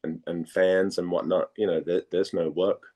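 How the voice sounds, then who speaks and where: A man from Denmark speaking casually as an interviewed actor, halting and repeating phrases as he searches for words. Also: low voice, little pitch movement, warm tone.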